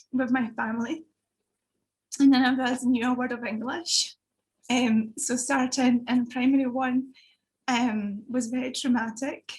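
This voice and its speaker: A woman in her thirties with a slightly high voice tells her own story over a video call. She speaks haltingly, filling pauses with "um" and restarting her sentences. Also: rough recording. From Italy. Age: 30s. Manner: hesitant, frequent fillers and restarts. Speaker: summit speaker. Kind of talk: personal testimony talk.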